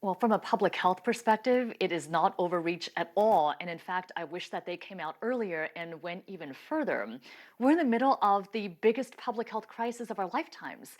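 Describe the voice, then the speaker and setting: A woman giving firm, articulate commentary as a medical analyst in a news interview. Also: medium pitch.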